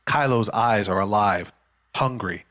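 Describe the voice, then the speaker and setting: A man's voice: on the low side, unhurried, a bit bright. A man giving scripted narration of a screenplay, reading scene headings and action as a narrator.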